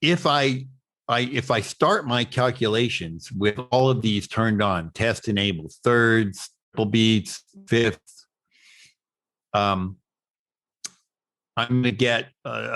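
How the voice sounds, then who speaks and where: A man with a fairly low voice teaches in an online technical session. He explains step by step in a halting manner, often repeating a word before he moves on. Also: a bit bright. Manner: halting, with repeated words and stop-start phrasing. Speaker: instructor presenting at an online summit. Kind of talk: technical explanation, webinar talk.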